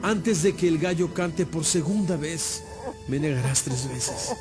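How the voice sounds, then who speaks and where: A man's voice: a little high, animated, measured pace. A man acting as a composed, solemn holy teacher in a dramatized audio Bible. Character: Jesus, solemn male teacher, composed and knowing. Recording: music bed behind voice.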